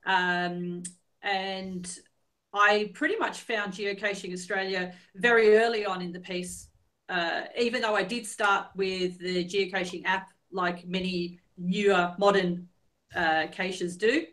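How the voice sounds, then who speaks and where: Female guest, bright sharp tone, relaxed explainer. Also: medium pitch, takes it slowly. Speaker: podcast guest. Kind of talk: casual interview chat.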